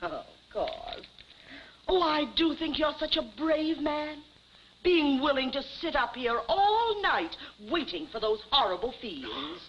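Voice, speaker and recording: A naive, kindly lady in vintage TV comedy, genteel and fluttery, her voice swooping in polite exclamations. The old soundtrack is muffled, with clear background noise. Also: high voice, big pitch swings, measured pace. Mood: excited, hospitable. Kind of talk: 1950s TV comedy acting. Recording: noisy, muffled 1950s television soundtrack.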